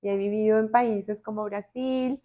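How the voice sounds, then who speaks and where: A middle-aged woman with a Colombian accent, talking reflectively and deliberately, with pauses, as a podcast guest. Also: medium pitch, fairly even intonation, mellow, lo-fi sound. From Bogotá, Colombia. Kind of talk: reflective personal interview talk.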